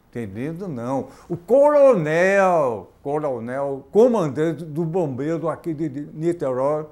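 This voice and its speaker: A male talk-show host, unhurried and fond of jokes. His voice sits a little high for a man, and he speaks in a playful, teasing way in a studio. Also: a little mellow. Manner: playful, joking, slow and drawn out. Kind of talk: casual TV interview. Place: university TV studio.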